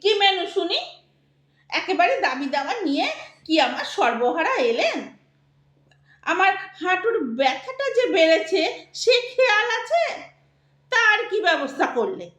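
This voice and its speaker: A woman's voice: extremely high pitch, very animated, measured pace, long pauses, a bit bright, exaggerated voice. A woman playing a nagging, aggrieved middle-aged wife in an audio drama, bossy and quarrelsome, complaining with theatrical sharpness. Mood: complaining, reproachful. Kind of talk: Bengali audio drama acting. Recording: echoey room sound.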